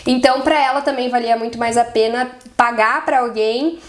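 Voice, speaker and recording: Young adult woman, Brazilian Portuguese accent, slightly high voice, relaxed chatty vlog delivery. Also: moderate pace. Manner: chatty, strings thoughts with "e aí". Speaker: vlogger. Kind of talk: casual talk to camera.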